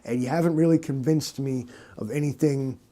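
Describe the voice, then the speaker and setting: A man's voice: medium pitch, moderate pace, warm tone, voice pushed down, close to an everyday voice. Man playing a hesitant, naive young rookie detective. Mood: mildly fed up. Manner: hesitant, stammering, meek. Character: hapless, naive young male rookie detective, mild and confused. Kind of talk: improvised comedy dialogue.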